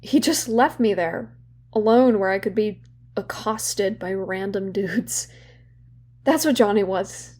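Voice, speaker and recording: A young woman playing a guilt-ridden, wry and bitter therapy patient in an audio drama, speaking candidly and haltingly. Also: medium pitch, a little mellow. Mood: wry, sardonic. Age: young adult. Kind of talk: naturalistic audio drama dialogue.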